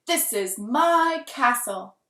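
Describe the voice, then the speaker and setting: A woman voicing an imaginative, determined and indignant little girl in a storybook, with emphatic, drawn-out declarations. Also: fairly high pitch, animated, bright, forceful tone, heavily acted. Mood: defiant. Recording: roomy, echoing room sound.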